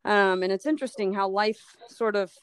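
A middle-aged woman from Liberia speaks with a smooth, resonant voice in a dry, close sound. She is a physician telling her story as an interview guest. She talks thoughtfully and often pauses to restart a phrase. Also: medium pitch, no room sound. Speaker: sports medicine physician, guest. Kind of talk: reflective personal story in an interview.

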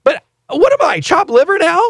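Lively man in his thirties, playfully indignant. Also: extremely high pitch, normal speed, gravelly, dry recording, clean studio sound. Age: 30s. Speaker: podcast co-host. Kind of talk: casual podcast chat.

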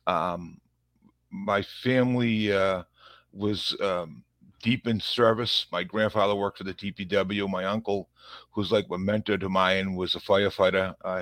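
A man's voice: lowish voice, fairly bright tone, no room sound. A man in his fifties with a thick Boston accent, a police officer telling his life story as a guest in a rambling, self-deprecating way. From Massachusetts, USA. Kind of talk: casual conversational interview.

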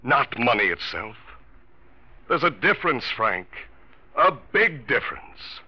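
An actor playing a calm, grave older uncle who gently reproves, in a 1940s radio drama. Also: male voice, medium pitch, measured pace, noisy background. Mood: sadly reproving. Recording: muffled old radio recording.

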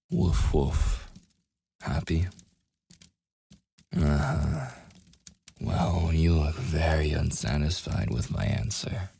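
Casting: male actor as taunting, possessive werewolf for ASMR roleplay. Extremely low register, husky and breathy, menacing. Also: warm tone, full character voice. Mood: sardonic. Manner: slow, teasing, menacing. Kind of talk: boyfriend ASMR audio roleplay acting.

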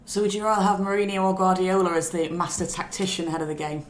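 A woman's voice: fairly low pitch, little pitch movement, fast talker. A woman hosting a podcast, presenting and putting questions to guests in a casual interview style. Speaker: podcast host. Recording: echoey room, faint background noise.

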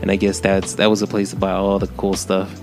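Brief: lower-voiced Texas man, easygoing co-host who asks questions. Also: monotone, a little fast, music in the background. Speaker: podcast co-host. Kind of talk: casual chat.

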